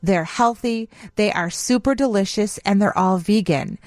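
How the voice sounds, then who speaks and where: A woman's voice: mid-range voice, moderate pace, a little mellow, dry recording. A woman with an American accent giving an emphatic, enthusiastic, chatty ad read as radio co-host. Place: radio studio, dry close sound.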